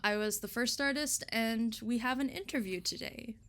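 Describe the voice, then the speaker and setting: A woman's voice: a little high, normal speed, a bit bright. A woman speaking casually as an illustrator in an interview, with a giggly, enthusiastic and self-deprecating way of talking.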